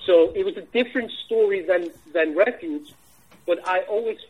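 A man from Iceland with an Icelandic accent, a film director answering interview questions in a halting way, full of restarts. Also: high-pitched, husky, narrow, tinny audio. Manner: halting, many restarts and fillers.

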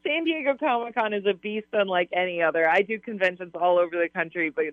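Interview guest, woman, a bit hoarse, eager and chatty, comes through a dry, phone-quality line. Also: mid-range voice, a little fast, no room sound. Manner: enthusiastic, laughs often, talks in long rambling sentences. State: slightly hoarse. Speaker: science advisor and author, interview guest. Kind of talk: casual radio interview answers.

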